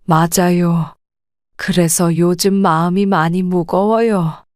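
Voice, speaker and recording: A woman acting an older widowed grandmother who speaks softly, weary and restrained. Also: lowish voice, steady tone, takes it slowly, no room sound, studio quality. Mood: troubled. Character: widowed grandmother, gentle and troubled. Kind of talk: audio drama acting.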